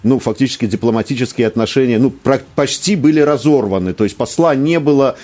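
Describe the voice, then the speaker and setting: A man interviewing a guest on radio, asking long questions filled with pauses and fillers. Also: medium pitch, darkish tone. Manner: drawn-out questions with many fillers.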